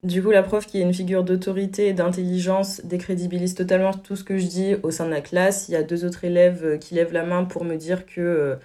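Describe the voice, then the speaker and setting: A woman's voice: on the low side, level tone, a little fast, few pauses, mellow. A woman in her early twenties telling a personal story with many hesitations. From France. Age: 20s. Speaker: testimony guest. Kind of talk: personal testimony.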